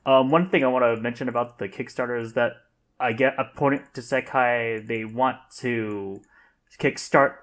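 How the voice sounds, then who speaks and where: A man talking casually in a podcast discussion, with a halting, hesitant delivery. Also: medium pitch, steady tone, a bit bright.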